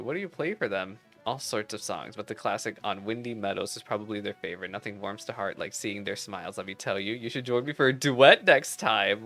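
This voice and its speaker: A man's voice: mid-range voice, a bit bright. A man reading aloud the game lines of an earnest, slightly haughty young female musician and rival. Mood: friendly, flustered.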